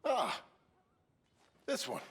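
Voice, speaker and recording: An American man playing a gruff, gravelly middle-aged cowboy in a video game, laconic and a little awkward but tender and teasing. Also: extremely slow, fairly bright tone, slight background noise. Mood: awkward, unsure. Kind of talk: video game acting, casual banter.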